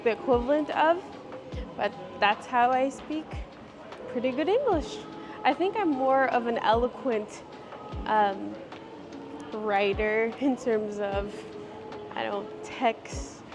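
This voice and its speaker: A woman in her thirties from Washington state, chatting casually and a little formally while vlogging through a shopping mall with a handheld camera. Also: on the high side. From Washington state, USA. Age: 30s. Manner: chatty, giggly, slightly formal phrasing. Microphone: handheld gimbal camera.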